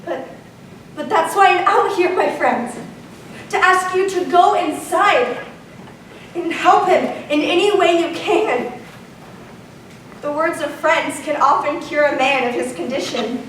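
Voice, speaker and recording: A woman's voice: high-pitched, unhurried, long pauses, fairly bright tone. A woman playing a pleading devoted wife. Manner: pleading, urgent stage delivery. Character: devoted anxious wife of a warrior. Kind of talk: stage tragedy acting. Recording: echoey room, far from mic, faint noise.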